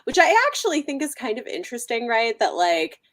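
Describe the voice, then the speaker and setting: A woman's voice: on the high side, sing-song, fairly quick, fairly bright tone. A woman chatting casually and emphatically as a co-host of a livestream book discussion.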